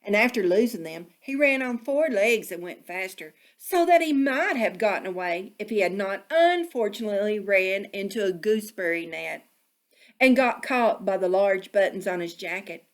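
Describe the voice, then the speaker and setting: A woman narrating a children's storybook expressively, with deliberate phrasing suited to young listeners. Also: mid-range voice, lively intonation.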